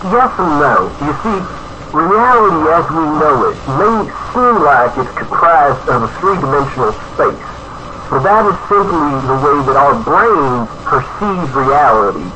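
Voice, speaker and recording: A man's voice: on the high side, very animated, a little slow. A man playing an earnest, rambling UFO conspiracy author being interviewed on an old, noisy cassette radio broadcast. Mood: insistent. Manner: folksy, rambling, insistent. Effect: vintage radio tape. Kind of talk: improvised-sounding radio interview.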